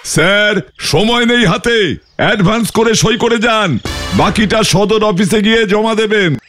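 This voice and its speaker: Government auctioneer, very high voice, businesslike, exasperated, comic cartoon, background music. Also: male voice, unhurried.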